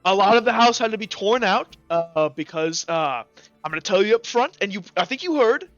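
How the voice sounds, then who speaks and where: A man voicing a flustered older gentleman realtor in a tabletop game, stammering through a reassuring sales pitch. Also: animated, fairly quick, no echo, dull audio, soft background music. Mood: nervous reassurance. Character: sweaty, red-faced older gentleman realtor, defensive salesman.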